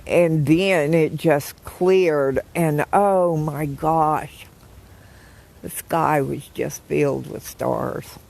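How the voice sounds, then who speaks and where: Older woman, hoarse morning voice, gravelly, gentle rambling live chat. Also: sits low, extremely slow. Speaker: vlogger greeting live viewers. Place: outdoors in the woods.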